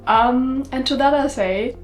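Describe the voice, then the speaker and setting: A woman's voice: high voice, unhurried, faint music behind. A young woman from Germany with a German accent, talking candidly to the camera in a casual sit-down vlog. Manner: chatty and candid, many fillers like um and like. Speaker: vlogger.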